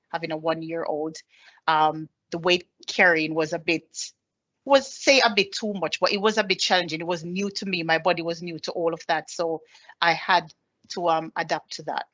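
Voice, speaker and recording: A woman's voice: lowish voice, sharp tone, highs cut off. A woman in her thirties with a Jamaican accent, giving a personal presentation as an army staff sergeant, speaking plainly with many ums. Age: 30s. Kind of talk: presentation with slides.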